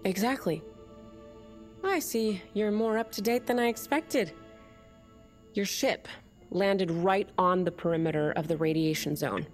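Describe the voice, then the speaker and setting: An actress playing a defiant, cynical leader of an underground separatist movement in an audio drama. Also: female voice, mid-range voice, unhurried, pauses often, soft background music, lightly acted. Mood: earnest, ideological.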